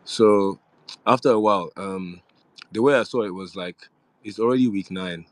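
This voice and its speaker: Deep-voiced young man chatting casually. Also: normal speed, no echo. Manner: relaxed, rambling, with frequent fillers and restarts. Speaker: reality show former housemate hosting a live audio room. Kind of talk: casual live audio chat with fans.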